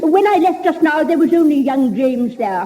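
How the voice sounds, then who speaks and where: Giddy fussy spinster, high voice, swooping pitch, mellow. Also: female voice, expressive, moderate pace. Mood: gushing. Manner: gushing, fussy. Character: gushing fussy spinster opera organiser. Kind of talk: 1960s radio sitcom acting.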